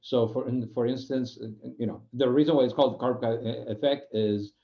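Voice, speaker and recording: Spanish-accented man giving a halting talk. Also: medium pitch, steady tone, muffled recording. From Spain. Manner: halting, restarts phrases, searches for words. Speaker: UX program manager giving a guest talk. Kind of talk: informal explanatory presentation.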